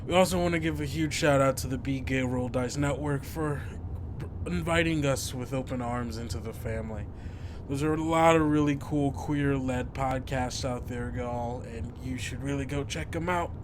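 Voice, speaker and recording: A man hosting and narrating a tabletop podcast as game master, informal and halting but descriptive. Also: mid-range voice, noisy background.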